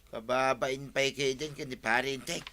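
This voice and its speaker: An actor with an Ilocano accent playing a scolding, exasperated village official in a radio comedy-drama. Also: male voice, mid-range voice, fairly even intonation, moderate pace, bright, forceful tone, close to an everyday voice. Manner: scolding, emphatic.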